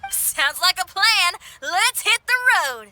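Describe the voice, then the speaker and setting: A woman's voice: extremely high pitch, expressive, takes it slowly, background noise, heavily acted. A woman voicing a breezy, confident young female adventurer in a video game, with a folksy, casual, spirited manner. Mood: upbeat.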